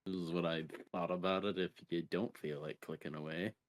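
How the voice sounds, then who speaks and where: Deep-voiced man chatting casually. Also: steady tone, brisk, slight background noise. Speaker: podcast co-host. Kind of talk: casual video game podcast chat.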